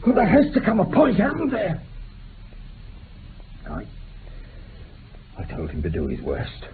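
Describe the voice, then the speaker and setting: An actor playing a remorseful, dying old former bushranger in a radio drama, making an impassioned, halting confession. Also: male voice, high-pitched, wildly swooping pitch, exaggerated voice. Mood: desperate. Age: older. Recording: muffled phone-like old radio recording, hiss.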